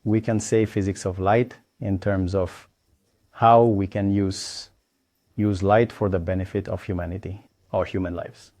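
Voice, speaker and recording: A man with a very low, warm, mellow voice explains his engineering work at an unhurried pace. He pauses often and restarts his phrases. The recording is dry and close. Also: little pitch movement, no room sound. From Tanzania.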